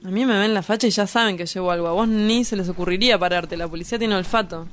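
A reckless young Argentine hustler acted by a woman in a radio drama, slangy and provocative. The delivery is insolent and teasing, at a middling pitch over faint background noise. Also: fast talker, lightly acted. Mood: instructing. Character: reckless young male small-time drug dealer, brash, cynical, provocative. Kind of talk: scripted radio drama acting.

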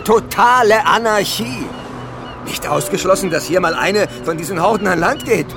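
Measured, controlling survivor leader, music behind. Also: male voice, high-pitched, creaky. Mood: grave, explanatory. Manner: measured, patronizing, authoritative. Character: calm, controlling male leader of island survivors. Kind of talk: German radio drama acting.

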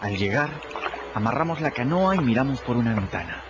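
A man playing a cheeky runaway adolescent boy who narrates his river adventures in a colloquial, animated radio drama style. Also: medium pitch, fairly quick, noisy background, lightly acted. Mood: animated storytelling. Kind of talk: radio drama storytelling in first person. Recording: muffled radio production with music behind.

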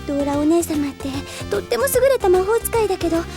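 An actress playing an anxious, devoted young little sister in an audio drama, speaking in a prim, earnest, polite way. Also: female voice, extremely high pitch, lively intonation, quick pace. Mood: worried. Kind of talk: Japanese audio drama acting. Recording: music under the voice.

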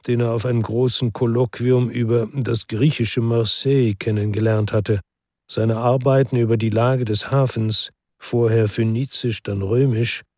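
A man's voice: medium pitch, darkish tone, no echo, studio quality, lightly acted. An actor playing a weary, brooding ex-policeman who narrates a radio crime drama in the first person.

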